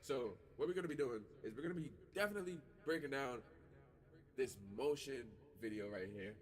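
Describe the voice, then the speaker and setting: A man's voice: medium pitch, lively intonation, fairly quick. A man who makes music, stuffy-nosed, talking casually and loosely in his home studio with lots of fillers and swearing. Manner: laid-back, rambling, lots of fillers and swearing. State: stuffy nose. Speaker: music artist and producer.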